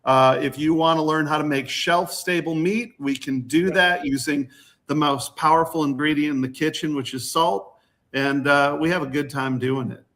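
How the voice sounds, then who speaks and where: A man's voice: on the high side, steady tone, normal speed, a bit bright. A man talking casually as a guest, explaining the hands-on classes he teaches. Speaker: guest, butchery workshop teacher.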